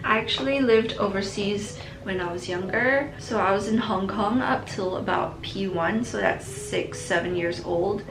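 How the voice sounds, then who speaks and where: A woman's voice: mid-range voice, unhurried, creaky, hardly any pauses, roomy sound, noisy background. A young woman from Singapore chatting casually in a vlog, answering viewer questions in a reflective way and laughing between answers. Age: young adult. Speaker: vlogger.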